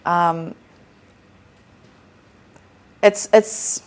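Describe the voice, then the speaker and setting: A woman's voice: lowish voice, fairly even intonation, extremely slow. A woman from South Auckland in New Zealand, hosting an interview and encouraging her guest with frequent affirmations. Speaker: interview host. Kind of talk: casual interview.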